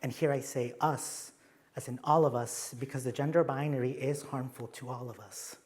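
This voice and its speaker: A man's voice: mid-range voice, steady tone, moderate pace, a little mellow. A young adult man from Mexico with a Mexican accent, giving a conference talk with slides and playful, self-deprecating asides. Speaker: conference presenter.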